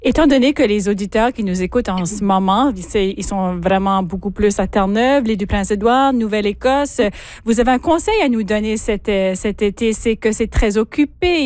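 A woman's voice: fairly high pitch, a little mellow, no echo. A woman, a radio reporter asking friendly conversational questions in an interview.

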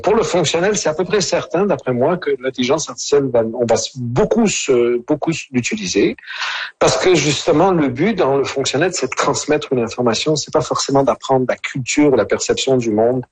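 A man's voice: medium pitch, animated, normal speed, husky, poor audio. A man, answering radio interview questions thoughtfully, with many hesitations and restarts. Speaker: author and interview guest.

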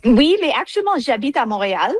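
A woman's voice: fairly high pitch, very animated, a little fast, no room sound, clean studio sound. A woman with an English accent, a cellist speaking French as a guest, hesitating with many fillers and slipping into English words. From United States. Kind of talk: interview answers.

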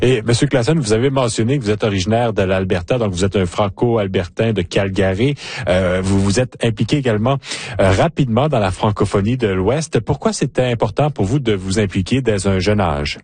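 A man, a radio host asking measured interview questions. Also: on the low side, a little mellow, slightly rough, no room sound.

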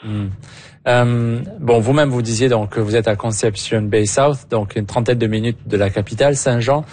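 A man interviewing a guest, asking measured, probing follow-up questions. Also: mid-range voice, fairly even intonation, darkish tone. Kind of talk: radio interview.

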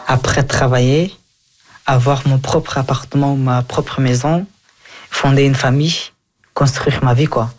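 Young man, French, medium pitch, casual interviewee. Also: steady tone, measured pace. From Afghanistan. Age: young adult.

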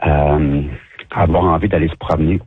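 A man's voice: a bass voice, fairly even intonation, moderate pace, breathy edge, no room sound. A man from Montreal with a Quebec French accent, a downtown shop owner talking as a radio interview guest, hesitant with many restarts and fillers. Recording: thin phone-like radio line.

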